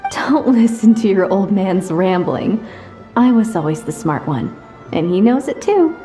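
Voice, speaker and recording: A woman acts as a tender adventurer mother in a video game. Her voice is warm-toned and gentle, with a wry, teasing edge. Soft music plays faintly behind her. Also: medium pitch, expressive, unhurried, close to an everyday voice.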